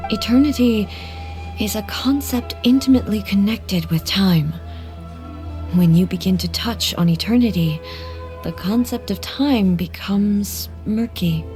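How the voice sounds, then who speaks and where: A woman playing a grave, dignified female deity in a video game, speaking formally and deliberately. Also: medium pitch, mellow, close to an everyday voice. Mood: solemn, reflective. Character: solemn, dignified female deity ruler, reflective and earnest. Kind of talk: scripted video game dialogue. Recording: background music under dialogue.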